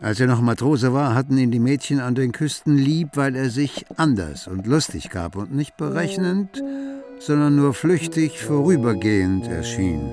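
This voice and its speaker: A man narrating a literary radio play in a measured, dramatic storytelling manner. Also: mid-range voice, animated, natural voice. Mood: measured narration. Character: literary narrator telling of a poor dreaming artist. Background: music.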